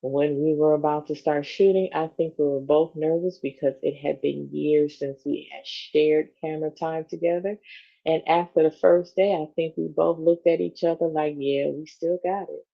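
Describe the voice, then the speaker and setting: A woman's voice: sits low, fairly even intonation, moderate pace, vocal fry. A woman co-hosting a show, reading a magazine interview aloud and slipping into informal asides. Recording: dull, lo-fi sound.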